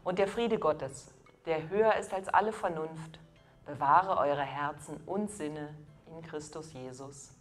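Low-voiced middle-aged woman preaching. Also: unhurried, soft background music. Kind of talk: scripted sermon.

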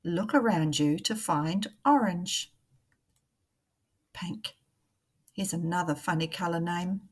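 A woman, a primary teacher reading a picture book aloud to young children in a deliberate, questioning way. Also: on the low side, husky.

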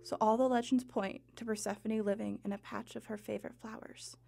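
A woman's voice: fairly high pitch, fairly even intonation, a little fast, creaky, loud music behind the voice. A woman playing a grieving, guarded heroine of ancient Greece in an audio drama, earnest and hesitant. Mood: searching.

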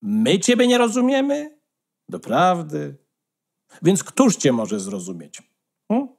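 A man's voice: on the high side, wildly swooping pitch, takes it slowly, vocal fry, darkish tone, exaggerated voice. A man playing a bossy, smug female hen who lectures condescendingly in a fairy-tale radio play. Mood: condescending, scolding.

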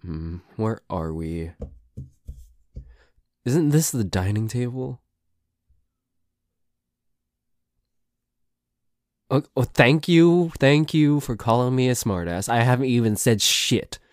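Man acting a slow-talking, nervous tsundere boyfriend. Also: medium pitch, lively intonation, no room sound, clean studio sound, lightly acted. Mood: wary, flustered. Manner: hesitant, stammering, sweary. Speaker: amateur voice actor. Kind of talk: ASMR boyfriend roleplay audio.

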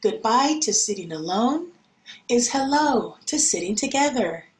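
A woman teacher reading a picture book and talking to young children in an expressive, deliberate, child-directed way. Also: fairly high pitch. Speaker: schoolteacher reading to pupils. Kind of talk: picture-book read-aloud and talk to children.

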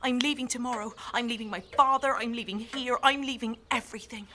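A woman's voice: fairly high pitch, steady tone, fast talker, sharp tone, a little hiss or hum. A woman playing an earnest, starry-eyed, breathless young woman in an audio drama. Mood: breathless, determined.

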